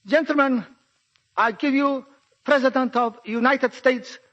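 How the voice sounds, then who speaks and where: Slow, high-pitched Japanese envoy. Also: male voice, fairly even intonation, a bit bright. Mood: solemn, courtly. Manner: formal, solemn, deliberate. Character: smooth, ceremonious Japanese diplomat envoy proclaiming peace. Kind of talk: 1940s radio drama acting, formal speech. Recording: muffled 1940s radio broadcast recording.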